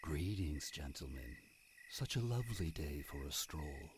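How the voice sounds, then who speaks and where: A man's voice: very deep voice, lively intonation, a little slow, background noise. A man voicing a sinister, grandiose old mad scientist in a theatrical horror radio play. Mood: creepy, admiring. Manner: theatrical, ranting or silkily menacing. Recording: long echoing reverb, music behind.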